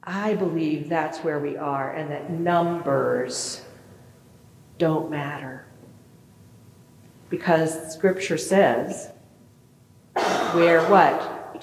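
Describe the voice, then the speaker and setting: A middle-aged woman pastor preaching a sermon in a large church sanctuary, animated and questioning her congregation. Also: deep voice, a little hiss or hum. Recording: echoey church with long reverb.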